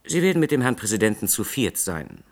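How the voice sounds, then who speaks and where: An actor playing a dutiful, discreet presidential aide, formal and polite, in a 1960s German radio drama. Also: male voice, lowish voice, big pitch swings, brisk.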